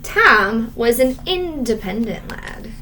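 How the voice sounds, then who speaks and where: A woman reading a children's storybook aloud as a teacher, expressive and measured, stressing key words and adding asides. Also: on the high side, slight background noise, poor audio.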